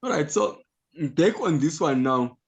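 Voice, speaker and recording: A man's voice: a little high, lively intonation, measured pace, vocal fry. A man leading an online economics tutorial, explaining step by step and often checking in with "right?" over a video call. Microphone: computer mic on a video call.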